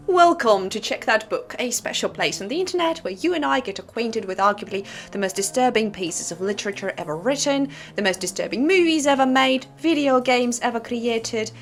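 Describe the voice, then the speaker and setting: A woman's voice: a little high, a bit bright. Woman hosting a dramatic, conversational book commentary. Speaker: host of a disturbing literature channel. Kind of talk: casual scripted commentary to camera.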